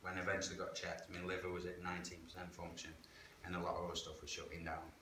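A low-voiced man tells his story in a frank, plain-spoken, conversational way. He is picked up by a distant camera in a reverberant church hall, and a steady hiss sits under his voice. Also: very fast. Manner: frank, plain-spoken, chatty with local slang. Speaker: guest sharing life story. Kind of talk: candid personal testimony. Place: church hall, indoors, medium-sized. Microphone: far camera. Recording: echoey hall with background hiss.

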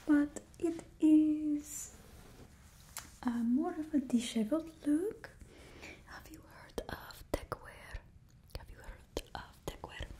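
A woman's voice: a little high, dark tone. A woman in her twenties whispering closely in a put-on Russian accent, as the host of an ASMR roleplay. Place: indoors in front of a studio backdrop. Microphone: close microphone.

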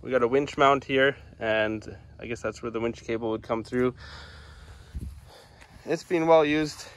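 A man in his forties hosting a casual hobby vlog, talking viewers through his work in a relaxed, chatty way from a sunroom at home. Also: mid-range voice, a little slow, a little hiss or hum. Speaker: vlog host. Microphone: camera at a distance.

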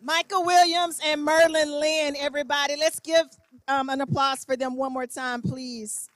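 High-voiced young woman emcee. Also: fairly even intonation, a bit bright, dry recording, faint music behind. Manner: brisk, encouraging introductions. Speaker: program emcee. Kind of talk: event hosting. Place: large gymnasium auditorium. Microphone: handheld microphone.

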